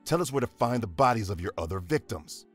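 Man acting husky, very deep, stern detective. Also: animated, quick pace, faint music behind. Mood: impatient. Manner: firm, commanding, exasperated. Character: stern, impatient male police detective. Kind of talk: audio horror drama acting.

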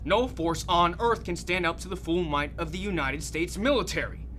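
A man acts out a cocky, bullish army commander in a radio play. His voice is high and bright, barking with brash authority over faint noise. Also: normal speed. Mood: brash confidence. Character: overconfident, bullish male American military commander. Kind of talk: audio drama acting.

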